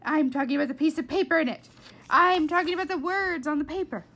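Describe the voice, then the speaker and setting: A woman voicing an irate, bossy mother in a storybook, loud and exasperated. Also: high-pitched, fast talker, pitched above the performer's own voice. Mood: stern, exasperated. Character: irate, scolding mother, a professional writer.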